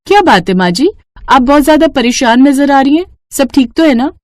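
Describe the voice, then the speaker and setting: Woman acting a lively, reassuring young daughter-in-law. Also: high-pitched, moderate pace, dry recording, studio quality, voice pushed up. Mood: concerned, reassuring. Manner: eager, respectful, reassuring.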